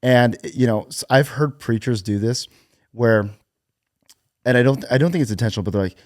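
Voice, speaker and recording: A man's voice: medium pitch, normal speed, darkish tone, no room sound, studio quality. A man in his twenties hosting a podcast, chatting casually and laughing often. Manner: laughs often, stumbles and restarts lightly. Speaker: podcast host. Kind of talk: casual chat.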